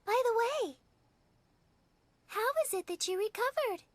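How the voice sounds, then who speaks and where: A woman voicing a gentle, polite young winged maiden in a fantasy game, with a mild manner. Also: extremely high pitch, measured pace, no room sound. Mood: gentle, curious. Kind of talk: anime-style fantasy game dialogue.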